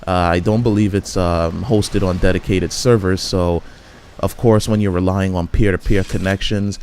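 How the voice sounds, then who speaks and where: A man's voice: sits low, steady tone, moderate pace, darkish tone, slight background noise. A man giving casual, rambling commentary over his own gameplay, often restarting his sentences. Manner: rambling, frequent restarts and fillers.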